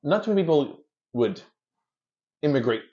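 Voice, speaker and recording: A man from Canada, a guest in a casual interview, telling stories in an animated way and restarting his words often. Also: fairly high pitch, normal speed. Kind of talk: casual chat, storytelling. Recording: dull lo-fi sound.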